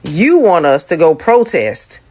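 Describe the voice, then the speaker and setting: A woman with a lowish voice lays out her argument slowly and deliberately. She presses each point and keeps checking in with "okay?" in a firm, lecturing tone. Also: big pitch swings, darkish tone. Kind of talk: argumentative commentary clip.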